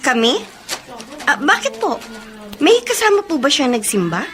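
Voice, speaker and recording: An actress playing a suspicious, sulky young best friend who speaks respectfully, in a Filipino radio drama. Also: female voice, sits high, big pitch swings, normal speed, fairly bright tone. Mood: inquiring.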